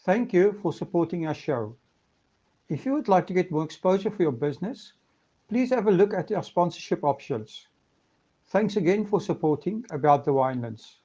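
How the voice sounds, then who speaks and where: Man, mellow, slightly high voice. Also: lively intonation, moderate pace, lo-fi sound. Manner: easygoing, with frequent "um" fillers. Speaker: host. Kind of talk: casual video interview.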